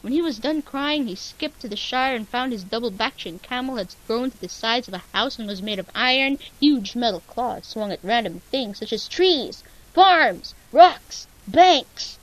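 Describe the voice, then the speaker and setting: A woman's voice: a little high, expressive, unhurried, few pauses, poor audio. A woman narrating a fan fiction as a comic dramatic reading, with playful, exaggerated storytelling. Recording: hissy amateur home recording.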